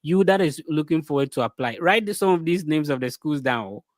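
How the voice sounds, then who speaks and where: A man's voice: on the high side, animated, fairly quick. A man hosting a podcast, talking in a loose, rambling way and asking a guest questions. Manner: rambling, many fillers and restarts. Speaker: podcast host. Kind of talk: casual podcast interview.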